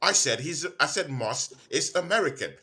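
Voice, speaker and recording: Animated man arguing a point. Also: on the high side, normal speed. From Nigeria. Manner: insistent, repeats himself when arguing. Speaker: host of a football watchalong. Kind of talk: casual debate.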